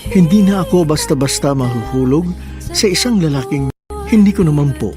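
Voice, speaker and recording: A man's voice: on the high side, animated, a little fast. A man hosting a radio drama with sentimental scripted narration. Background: music.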